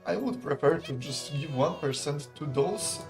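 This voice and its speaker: A young man, commentating a live strategy game in a relaxed, chatty, analytical way and laughing often. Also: a little high, normal speed, vocal fry, over music. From Poland. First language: not English. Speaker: esports caster.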